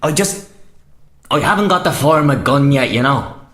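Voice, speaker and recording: Actor as restless young Irish rebel, echoey. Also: male voice, mid-range voice, expressive, moderate pace, a bit bright, natural voice. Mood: restless, uneasy. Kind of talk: radio play dialogue. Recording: echoey, roomy sound.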